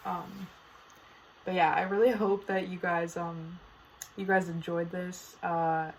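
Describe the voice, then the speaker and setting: A woman's voice: on the low side, level tone, slow pace, a little hiss or hum, low-quality recording. A teenage girl hosting her own podcast, chatting casually and playfully dramatic, with lots of fillers. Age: teens. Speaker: podcast host. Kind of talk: casual solo podcast chat.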